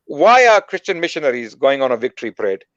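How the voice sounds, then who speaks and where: A man's voice: medium pitch, very animated, quick pace, fairly bright tone, dry recording. A man giving an emphatic talk and repeating his key points. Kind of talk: recorded talk played back. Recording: dull lo-fi clip.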